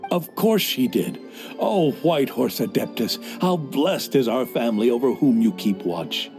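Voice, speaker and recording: A man's voice: medium pitch, very animated, measured pace, music in the background. An actor playing a pious, boastful old innkeeper in a video game, telling his story with animation. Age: older.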